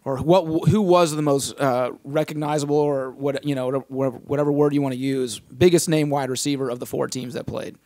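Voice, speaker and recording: A man's voice: mid-range voice, steady tone, warm tone. A man hosting a casual sports podcast, steering the chat and firing off questions, his voice still recovering from an illness. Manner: leads the talk, quick bursts of questions. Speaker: podcast host.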